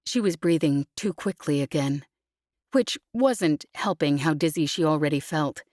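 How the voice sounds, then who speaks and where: A woman narrating a scripted novel as an audiobook, in a measured storytelling manner. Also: deep voice, animated, a little mellow, no echo, clean studio sound.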